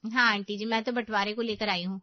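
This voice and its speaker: A woman's voice: mid-range voice, fairly even intonation, fast talker, no echo, highs cut off, natural voice. A woman playing a self-assured, crafty married sister in a story, with an assertive, unbothered manner. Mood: firm.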